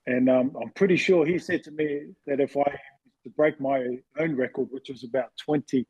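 A man in his forties with a husky voice, casually telling personal stories as an interview guest. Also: mid-range voice, fairly even intonation, moderate pace, a little mellow, no room sound, low-quality recording. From New Zealand, later Western Sydney, Australia. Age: 40s. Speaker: interview guest, former rugby league player.

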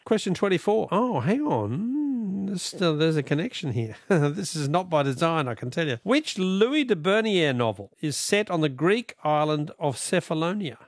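A man's voice: a little high, big pitch swings, moderate pace, hardly any pauses, no echo. An Australian man hosting late-night talkback radio in a studio, jovial and chatty, laughing often. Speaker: radio host. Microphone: studio microphone.

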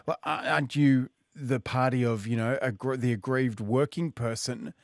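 A male talk radio host, questioning guests and taking calls in a brisk, direct way. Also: medium pitch, dry recording, clean studio sound.

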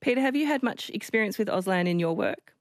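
A woman hosting a radio call-in show, introducing guests, taking calls and reading out listener texts in a friendly, brisk way. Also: medium pitch, lively intonation, no room sound. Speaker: radio host. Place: radio studio. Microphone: studio microphone. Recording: dry studio sound.

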